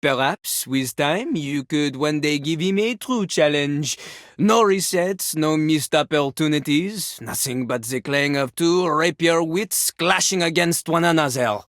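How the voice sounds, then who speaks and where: An actor playing a boastful French talking toilet in a video game, a pompous, theatrical rapper with a French accent. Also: male voice, fairly high pitch, animated, measured pace, a bit bright, no room sound, studio quality. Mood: haughty. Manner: pompous, theatrical, refers to himself in third person. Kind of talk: video game character acting.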